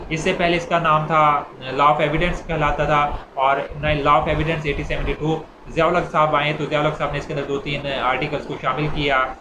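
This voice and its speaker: A man with a Pakistani accent giving an informal explanatory law lecture, speaking haltingly and repeating words as he explains. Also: on the high side. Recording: echoey room, clear background noise.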